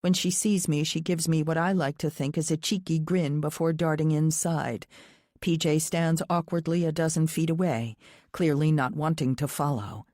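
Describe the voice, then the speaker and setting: A woman's voice: low-pitched, steady tone, normal speed, a little mellow, no room sound, clean studio sound. A woman reading a first-person thriller audiobook as scripted narration in the present tense.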